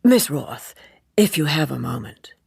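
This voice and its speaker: A woman voicing a polite, self-possessed, evasive gentleman in an audiobook, with a measured, formal and courteous manner. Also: rumbling low, very animated, creaky, husky, dry recording, studio quality, exaggerated voice. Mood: discreet. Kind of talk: audiobook character dialogue.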